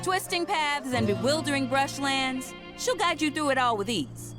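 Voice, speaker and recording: A woman playing an upbeat, folksy middle-aged mother in a video game, pitching her racing course with enthusiasm. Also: lively intonation, moderate pace. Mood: encouraging. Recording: loud music behind the voice. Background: loud game music.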